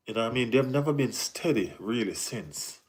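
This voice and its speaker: A man's voice: mid-range voice, animated, a little fast. A Jamaican man hosting a casual football live stream, reading and answering chat, often saying "you know what I mean".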